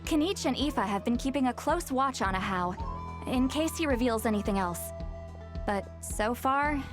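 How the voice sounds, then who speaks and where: A proud, sharp-tongued young shaman, voiced by a woman for a video game. She talks in a wry, slightly haughty lecturing tone with a fairly high voice, over clear game music. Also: lively intonation, moderate pace, natural voice. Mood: explaining. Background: game soundtrack music.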